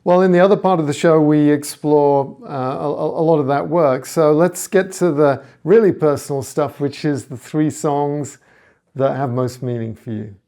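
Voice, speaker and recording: A man's voice: on the high side, normal speed, dark tone. A man hosting a podcast interview, encouraging his guest and murmuring along as he listens. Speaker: podcast host.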